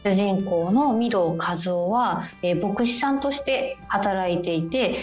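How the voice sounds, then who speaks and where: Female radio guest, mid-pitched, polite and conversational, feeling her way through explanations, faint music behind. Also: slow pace, a little mellow. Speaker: guest, film publicist.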